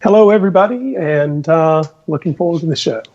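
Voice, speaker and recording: A man with a fairly high voice speaks in a dry, wry and challenging way on a podcast panel. His remote audio sounds dull and lo-fi. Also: fairly quick, darkish tone. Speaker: skeptic panelist. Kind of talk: casual panel chat.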